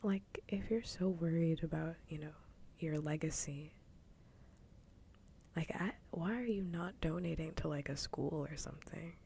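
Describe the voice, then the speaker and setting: Woman's low, creaky ASMR whisper. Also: a little slow, slight background noise. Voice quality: soft, breathy whisper. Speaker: streamer hosting a live ASMR show. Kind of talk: whispered ASMR casual chat. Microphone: close ASMR microphone.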